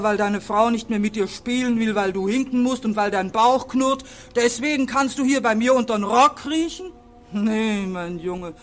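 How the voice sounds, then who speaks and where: Woman playing a gruff, mocking old river spirit. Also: medium pitch, lightly acted. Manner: earthy, scolding, mocking. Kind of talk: 1940s radio drama acting. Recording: vintage 1940s radio recording.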